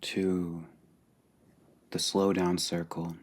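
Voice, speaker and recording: A man leading a guided meditation calmly and deliberately, pausing between short phrases. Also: sits low. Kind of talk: guided meditation, slow and spacious.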